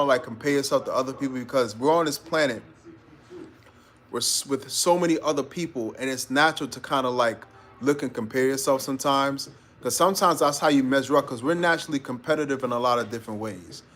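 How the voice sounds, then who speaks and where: A man's voice: medium pitch, fairly bright tone. Laid-back New man, motivational chat. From New York. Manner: laid-back, slangy, calls listeners bro. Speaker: live-stream host. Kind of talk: casual motivational live-stream chat.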